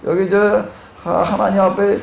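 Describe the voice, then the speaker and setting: Male pastor, Jeolla accent, rambling lecture style with questions to the audience; gravelly, slightly high voice, echoey room. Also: flat delivery, moderate pace. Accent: Korean Jeolla dialect. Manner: rambling, many fillers, asks the audience questions. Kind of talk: Bible seminar lecture. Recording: echoey room with faint noise.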